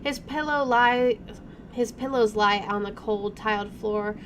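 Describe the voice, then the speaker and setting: A woman hosting a let's play, reading game narration aloud and adding casual, expressive commentary. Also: medium pitch, a little slow. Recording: clearly audible background noise.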